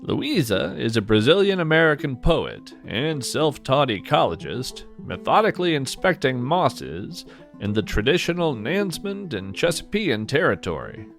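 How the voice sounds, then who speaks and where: A man's voice: medium pitch, sing-song, moderate pace, vocal fry, soft background music. A man hosting a podcast, reading scripted thanks and acknowledgements. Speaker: podcast host.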